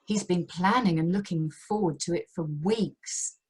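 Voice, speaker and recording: An amateur actress playing a put-upon, placating middle-aged British wife in a radio play. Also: female voice, lowish voice, a little slow, a little mellow, breathy edge. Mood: weary, confiding. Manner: harried, conciliatory.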